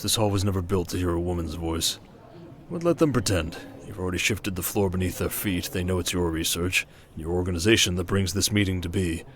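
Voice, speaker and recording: A man playing a shrewd, confident king in an ASMR roleplay drama, speaking in a measured, wry and protective way. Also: low-pitched, lightly acted. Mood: tender, quiet.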